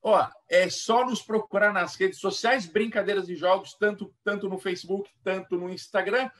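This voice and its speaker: A Brazilian man talking casually as an interview guest and author, in a halting manner that repeats words. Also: sits high, fairly even intonation, fairly bright tone. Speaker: guest author and play educator. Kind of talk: casual explanatory interview talk. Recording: voice somewhat far from mic.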